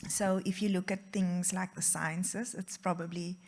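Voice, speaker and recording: A woman's voice: lowish voice, fairly even intonation, a little slow, vocal fry, darkish tone, a little hiss or hum. A woman answering as a guest on a career panel, thoughtful and hesitant with many fillers. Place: event venue.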